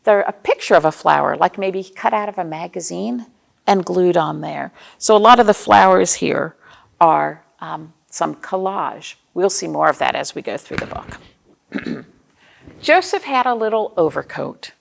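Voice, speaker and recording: A woman's voice: lowish voice, lively intonation, unhurried, vocal fry. An American woman hosting a storytime for young children, reading aloud expressively and explaining things simply with questions to her listeners. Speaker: children's librarian, storytime host.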